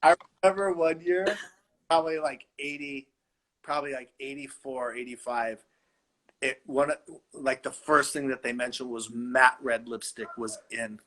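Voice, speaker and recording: A man's voice: medium pitch, steady tone, a little slow, pushed and bright, low-quality recording. A middle-aged man from Los Angeles, a designer chatting playfully from his home and laughing often. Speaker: fashion designer guest. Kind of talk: casual video-call chat.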